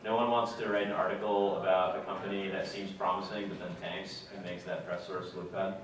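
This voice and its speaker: Young male speaker, relaxed, filler-heavy delivery; far-off echoey room, faint hiss. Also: low voice, a little fast. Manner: relaxed, plain-spoken, many fillers. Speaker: guest speaker. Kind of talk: casual talk to a student audience. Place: lecture room with audience. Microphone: far camera.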